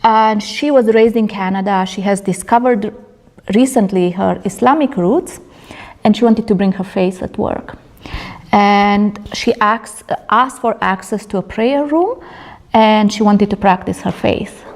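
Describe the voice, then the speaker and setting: A middle-aged woman from Romania speaks at a conference about her research. Her delivery is earnest, and she often stops on fillers or starts a sentence over. Her pitch sits in the middle of the usual range for a woman. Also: measured pace, a little mellow. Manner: earnest, with many fillers and restarts. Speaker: conference presenter, doctoral candidate. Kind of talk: academic conference talk. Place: conference room.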